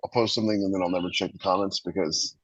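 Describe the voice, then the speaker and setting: A man's voice: deep voice, little pitch movement, racing, fairly bright tone, dry recording. A man chatting casually as a co-host on a writers' podcast, in a slightly dull recording. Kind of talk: casual panel chat.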